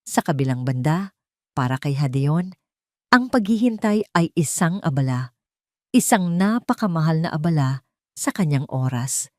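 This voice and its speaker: Woman, Filipino accent, lowish voice, scripted romance storytelling, dramatic delivery, close dry recording. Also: animated, moderate pace, pauses often, dry recording. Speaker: audio story narrator.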